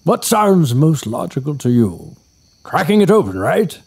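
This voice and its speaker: A man's voice: a little high, very animated, measured pace, darkish tone, husky, full character voice. An actor playing an obsessive retired male doctor in an audio drama, speaking in a clipped manner. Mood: eager. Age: older. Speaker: retired scholar. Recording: music score underneath.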